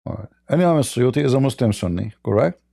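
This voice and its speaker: A man's voice: medium pitch, animated, fast talker, vocal fry, warm tone, no room sound, studio quality. A man hosting a live debate, pressing a caller with repeated questions. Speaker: debate host. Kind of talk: live call-in religious debate.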